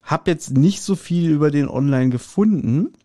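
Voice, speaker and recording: A man's voice: a little high, normal speed, dark tone, no echo, studio quality. A middle-aged man co-hosting a podcast, reviewing audio drama in a casual, colloquial and jokey way. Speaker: podcast host.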